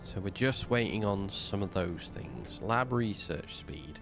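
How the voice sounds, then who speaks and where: Slow gravelly man with a snuffly cold. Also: low voice, animated, over music. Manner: slow, muttering to himself while building. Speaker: game streamer. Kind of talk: casual gameplay commentary.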